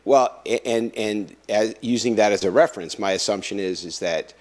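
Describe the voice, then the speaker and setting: A man hosts a conversational interview in a very low voice. He often stops and restarts his phrases, filling the gaps with ums and uhs. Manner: halting, many restarts and fillers. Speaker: interviewer host.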